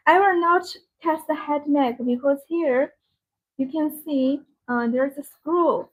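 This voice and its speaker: A woman hosting a live product demonstration, speaking in halting, repetitive, non-native phrasing. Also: high-pitched. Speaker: live-stream sales host demonstrating a product. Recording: dull, band-limited live-stream audio.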